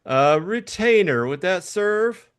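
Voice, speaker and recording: Man acting out a high-voiced, suave, over-polite schemer. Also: expressive, slow, clean tone. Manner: formal, mannered, over-polite phrasing. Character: suave, polite, effete male schemer, precise and evasive.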